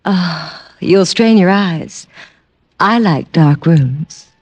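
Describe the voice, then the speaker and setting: A woman's voice: on the low side, drawn-out words, lo-fi sound, background noise. An actress playing a seductive woman client who claims her husband wants to kill her, in a 1950s radio drama. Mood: sultry. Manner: sultry, suggestive. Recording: old radio broadcast recording.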